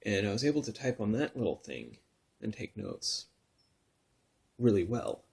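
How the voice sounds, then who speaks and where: A man in his thirties from Northern California who tells his own story in a soft, halting way, full of pauses and restarts, sometimes close to a whisper. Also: fairly low pitch, very animated, vocal fry, breathy edge. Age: 30s. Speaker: vlogger telling his story. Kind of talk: personal confessional vlog.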